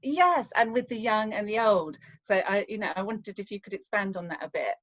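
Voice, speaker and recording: A woman hosts an online filmmaker Q&A, asking gentle and appreciative questions in a mid-range voice. The sound is dull and lo-fi. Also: mid-range voice, expressive, a little fast. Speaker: interviewer host. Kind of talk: online Q&A interview.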